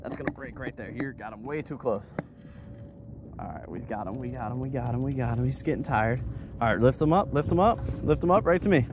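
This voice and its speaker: Guy on a fishing boat yelling reel reel to his kids, all hyped up, lots of wind and engine noise. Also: mid-range voice. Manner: excited, rapid instructions, repeats himself. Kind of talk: casual coaching while filming. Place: small boat on open water outdoors. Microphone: action camera on the boat.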